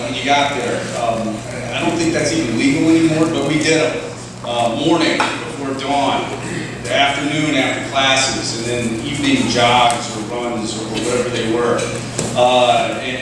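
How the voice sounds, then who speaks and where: A tired man in his forties giving a rambling, self-deprecating speech at a luncheon, full of fillers and restarts. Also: mid-range voice. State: tired from no sleep. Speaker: former player giving a speech. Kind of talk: informal reminiscing speech. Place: large echoey hall at a luncheon. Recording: very echoey hall, distant, noisy.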